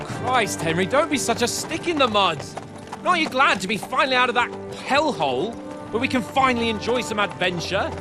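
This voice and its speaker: A man's voice: extremely high pitch, animated, brisk, music in the background. An actor playing a flippant, haughty young nobleman who craves adventure and swears freely, in a video game cutscene. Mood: eager, teasing. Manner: haughty, playful, theatrical exclamations.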